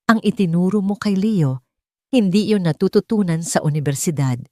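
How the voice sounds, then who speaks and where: A woman narrator with a Filipino accent voicing a calm, supportive wife and mother in an audiobook story. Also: lowish voice, moderate pace, no echo, studio quality, close to an everyday voice. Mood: gentle, reassuring. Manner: soft-spoken, calm.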